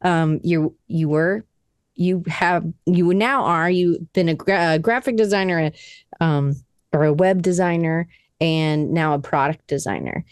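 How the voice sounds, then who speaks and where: A woman's voice: lowish voice, measured pace, darkish tone, clear, resonant tone, no room sound. A woman, interviewing a guest in a casual, conversational way as a podcast host.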